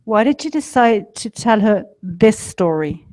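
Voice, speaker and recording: A woman's voice: mid-range voice, expressive, unhurried, darkish tone. A woman leading a storytelling workshop, coaching a participant with probing, encouraging questions.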